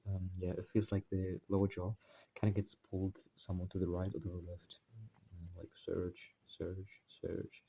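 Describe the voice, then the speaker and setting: Deep-voiced man, halting and full of fillers. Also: dark tone, slight background noise, rough recording. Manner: hesitant, full of fillers and self-corrections; deliberately putting on an American accent. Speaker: channel host. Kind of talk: casual spoken introduction to a text study.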